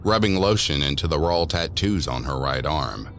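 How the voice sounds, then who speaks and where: A man's voice: very deep voice, lively intonation, moderate pace, a bit bright, slight background noise, full character voice. A man narrating a scripted spooky story about teens, as a storyteller in an audio drama. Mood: measured, eerie. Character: male storyteller of a spooky teen tale. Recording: music under the voice.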